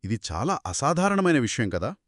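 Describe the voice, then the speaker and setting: A man's voice: medium pitch, very animated, fairly quick, no room sound, studio quality. A man hosting a scripted explainer discussion, asking questions and recapping points in an inquisitive way. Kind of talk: scripted two-person legal explainer discussion.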